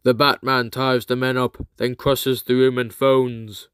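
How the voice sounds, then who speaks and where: A man's voice: mid-range voice, level tone, unhurried, fairly bright tone, no echo, studio quality. A man reading scripted, dramatic comic book narration as the storyteller. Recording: music clearly behind the voice.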